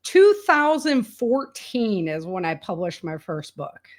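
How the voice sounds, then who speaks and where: A woman's voice: medium pitch, very animated, slow pace. American woman, candid casual storyteller. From rural United States. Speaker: guest, indie author and entrepreneur.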